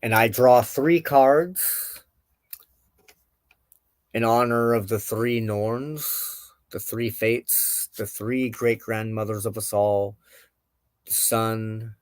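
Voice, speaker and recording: A man with a fairly low voice reads tarot cards as the host of a spiritual show. He takes his time, very measured, setting out short deliberate phrases with pauses between them. The sound is dry and close, with little room around it. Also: fairly even intonation, no room sound. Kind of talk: tarot card reading, reflective spoken commentary.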